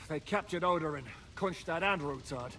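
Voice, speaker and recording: A sober, level-headed medieval soldier voiced by a male actor for game dialogue. He speaks in a slightly high male voice with a measured, matter-of-fact manner, a little noise behind him. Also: fairly bright tone, close to an everyday voice. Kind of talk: dramatic video game dialogue.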